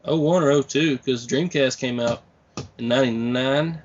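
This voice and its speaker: A man's voice: mid-range voice, normal speed, slight background noise. Twenty-seven-year-old man reminiscing, lo-fi. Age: 20s. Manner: reminiscing, earnest, steady. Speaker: podcast guest. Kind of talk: casual chat. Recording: dull lo-fi podcast mic.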